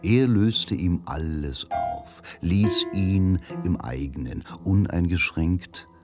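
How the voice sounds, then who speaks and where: Male narrator for radio drama: deep, unhurried, deliberate clipped phrasing over clear music. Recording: music behind the voice.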